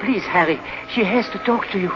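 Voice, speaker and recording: An actor playing an anxious, pleading French hotel keeper in a 1940s radio drama. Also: male voice, sits high, a little fast, close to an everyday voice. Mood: urgent, pleading. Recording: muffled old radio with music behind.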